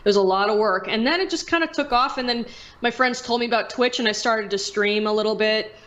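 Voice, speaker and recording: A woman's voice: a little high, fast talker, fairly bright tone, dull audio. A woman chatting casually and candidly as a podcast guest, rambling as she goes. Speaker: podcast guest, video creator and streamer. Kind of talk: casual chat.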